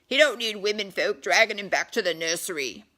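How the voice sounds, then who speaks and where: A woman voicing a burly, gruff working-class Englishman in an audiobook, emphatic and colloquial. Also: medium pitch, lively intonation, brisk, bright, forceful tone. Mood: scornful.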